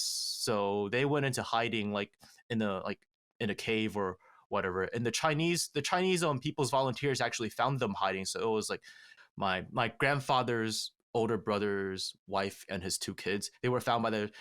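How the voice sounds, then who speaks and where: A man with a somewhat low voice talks through a family history in a relaxed podcast chat. He is measured and halting, with plenty of fillers and restarts. The sound is close and clean, from a studio mic in a small treated room. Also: smooth. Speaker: podcast guest. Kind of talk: casual conversational storytelling. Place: small home studio with acoustic foam panels. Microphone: studio mic with headphones.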